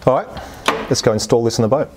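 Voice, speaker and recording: A man's voice: medium pitch, quick pace, noisy background. A man in his forties giving a how-to demonstration in a plain, practical, step-by-step way. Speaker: host of boat repair channel. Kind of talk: how-to demonstration, explaining steps. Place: workshop garage, indoors.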